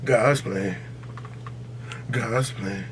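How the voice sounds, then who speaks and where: A man's voice: on the low side, very slow, background noise. An American man hosting a casual podcast debate, talking in a slangy, profane, insistent and opinionated way. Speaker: podcast host.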